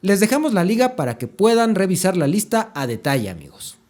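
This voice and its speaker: Mexican male podcast host, slightly high voice, halting conversational explainer, frequent question tags, roomy echo. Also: big pitch swings, darkish tone. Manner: halting, often tags phrases with "¿no?". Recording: echoey room, clear background noise, hum.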